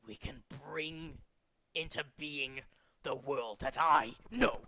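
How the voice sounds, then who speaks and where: A man voicing a megalomaniac villainous cult leader from a video game, scheming, pompous and contemptuous, moving between hushed menace and ranting. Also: fairly high pitch, slow pace, no echo, heavily acted. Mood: hushed, grandiose. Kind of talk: theatrical video game villain monologue, demo reel.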